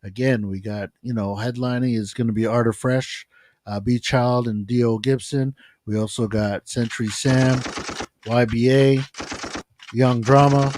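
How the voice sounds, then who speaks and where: A man on a podcast as a guest, talking calmly and upbeat about positivity and community with a soothing voice. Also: mid-range voice, unhurried, dry recording. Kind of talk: casual chat.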